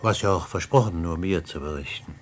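Actor as a low-voiced, guarded professor husband. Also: male voice, quick pace, slight background noise, natural voice. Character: controlling, evasive professor husband, outwardly calm. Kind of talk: German radio drama acting.

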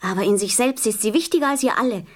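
A woman's voice: a little high, quick pace, close to an everyday voice. A woman playing a tender, innocent little boy prince in a radio play, speaking earnestly and carefully. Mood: earnest, firm.

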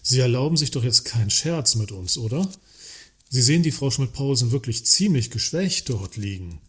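An actor playing a cautious, earnest works councillor in a satirical radio play, hesitant and formally polite, with a husky voice. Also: male voice, mid-range voice, animated, normal speed. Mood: earnest, pleading.

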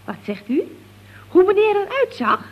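A woman's voice: extremely high pitch, very animated, normal speed. A woman playing a chatty, scatterbrained office worker in 1970s radio comedy, rambling along with giggly, naive earnestness. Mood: chatty, puzzled. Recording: dull, lo-fi old radio recording.

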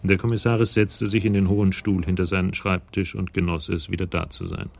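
Deep, gravelly male crime narrator. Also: flat delivery, fairly quick, a little mellow. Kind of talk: scripted narration of a crime radio play.

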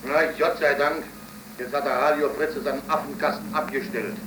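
In an echoey 1930s German radio play, an actor plays a gruff, amused blacksmith of sixty, colloquial and drawn-out. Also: male voice, a little high, fairly even intonation, background noise, lightly acted. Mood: grumbling. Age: 60s.

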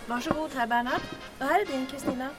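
An actress playing a brisk, friendly, slightly bossy small-town barmaid in a comic radio drama. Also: female voice, high-pitched, music in the background, natural voice. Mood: chirpy.

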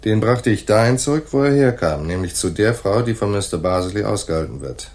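A man's voice: on the low side, expressive, a little fast, darkish tone. A man playing a laconic hardboiled private detective in a radio noir drama, dry and matter-of-fact. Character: laconic hardboiled male private detective narrating his case. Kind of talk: 1980s radio noir narration and dialogue.

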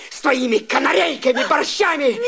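A man's voice: very high voice, normal speed, creaky, heavily acted. An actor playing a bitter, despairing man in his thirties, theatrical and self-pitying in a film drama. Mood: bitter loathing. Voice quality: strained shouting. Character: despairing, bitter 35-year-old married man in breakdown. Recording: film soundtrack with crowd behind.

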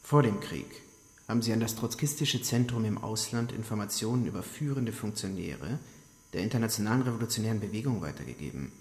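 Cold interrogator in a reverberant hall. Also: male voice, fairly low pitch, animated, a little fast, a little mellow. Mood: coldly persuasive. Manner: insistent, coaxing, formal bureaucratic address. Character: cold, menacing Soviet secret police interrogator, male. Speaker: radio drama actor. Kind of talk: German radio drama acting. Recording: long echoing hall reverb.

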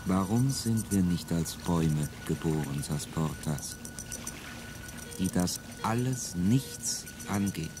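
A man's voice: deep voice, lively intonation, slow. An actor playing an embittered male revolutionary in a classic radio drama, brooding and swelling into bitter outbursts, with music behind him.

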